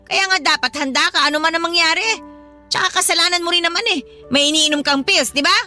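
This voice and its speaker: A woman's voice: very high-pitched, fast, pushed and bright, soft background music, heavily acted. A woman playing a scornful, nagging middle-aged mother-in-law in a radio drama, sarcastic and accusing, with a Filipino accent. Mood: scolding.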